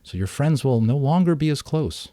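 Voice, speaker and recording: A man's voice: mid-range voice, expressive, moderate pace, dry recording, clean studio sound, voice pushed up, lightly acted. A man voicing a caring, earnest mother in a storybook, explaining things patiently. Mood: cautioning. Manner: patient, explanatory, gently advising.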